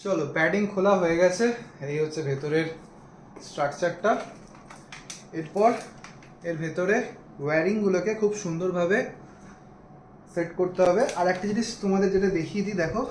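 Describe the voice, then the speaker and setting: A man's voice: sits high, fairly even intonation, brisk, long pauses. A man giving a casual, explanatory hands-on product demonstration, talking straight to his viewers. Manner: explanatory, addresses viewers directly. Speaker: motovlogger reviewing gear. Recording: echoey room, faint background noise.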